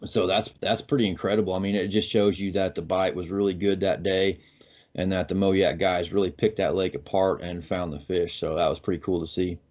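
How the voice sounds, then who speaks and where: Male co-host, very low voice, muffled lo-fi sound, laid-back. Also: fairly even intonation, a little fast, a little mellow. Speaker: podcast co-host. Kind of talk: casual podcast chat. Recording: slightly dull lo-fi audio.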